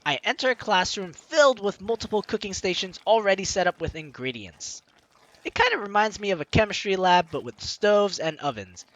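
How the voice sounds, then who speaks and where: A woman's voice: mid-range voice, expressive, brisk, rough recording. A young woman hosting a let's play, reading game text aloud theatrically with playful, chatty asides. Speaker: gaming YouTuber, host.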